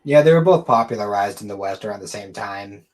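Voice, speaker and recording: A man's voice: fairly low pitch, animated, brisk, darkish tone. A man co-hosting a podcast, talking casually and offhandedly in an informal conversational way. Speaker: podcast co-host. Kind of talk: casual chat about anime and games.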